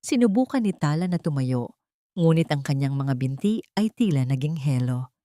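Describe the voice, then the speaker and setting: A woman's voice: low-pitched, expressive, normal speed, mellow, no room sound, clean studio sound. A woman with a Filipino accent reading a scripted, dramatic story as narrator.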